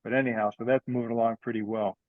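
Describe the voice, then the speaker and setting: A man giving an informal, unscripted update as he presents at an online meeting, often halting and thinking aloud. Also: medium pitch, little pitch movement, no room sound. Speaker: online meeting presenter for an amateur radio group. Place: dry room, on a video call. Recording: dull, lo-fi video-call audio.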